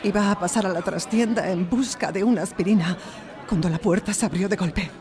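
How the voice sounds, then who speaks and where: Woman playing distressed young shop assistant, medium pitch, earnest emotional account, live stage with audible crowd. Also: brisk, close to an everyday voice. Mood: upset, recounting. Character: distressed young female shop assistant testifying, tearful but firm. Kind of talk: live audio drama acting. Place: recorded live before an audience in a library. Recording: live stage recording, audience noise.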